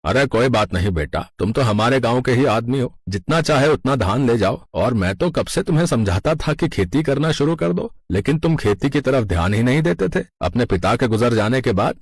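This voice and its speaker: A man's voice: medium pitch, expressive, normal speed, hardly any pauses, slightly rough, dry recording, studio quality. A man voicing a kindly, generous older village farmer in a scripted story. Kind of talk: scripted cartoon story dialogue.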